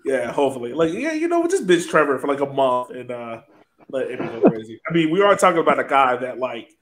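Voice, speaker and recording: A man with a mid-pitched voice hosts a casual sports podcast. He talks in a playful, teasing manner, and some background noise sits behind him. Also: big pitch swings, quick pace, a bit bright. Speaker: podcast host.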